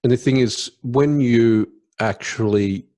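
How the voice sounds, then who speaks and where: A man's voice: mid-range voice, slow, darkish tone. A man co-hosting a podcast, talking casually and reflectively, circling back on his point with restarts.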